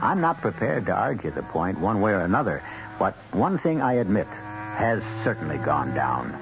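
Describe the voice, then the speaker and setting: A man's voice: low voice, very animated, moderate pace, creaky. A man hosting and narrating a radio drama, delivering a scripted introduction in a dramatic, wry, rhetorical manner. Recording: dull old radio broadcast, loud music. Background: music.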